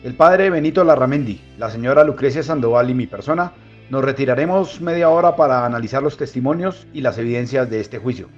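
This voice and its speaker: A man's voice: medium pitch, a little fast, close to an everyday voice. A man playing a formal, officious priest who presides over a tribunal with ceremonious announcements in an audio drama. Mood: cold, formal. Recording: dull lo-fi sound, faint music.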